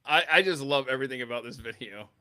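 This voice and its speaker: A man's voice: mid-range voice, fast talker, bright, forceful tone, smooth. A man hosting a talk show with playful, casual studio banter.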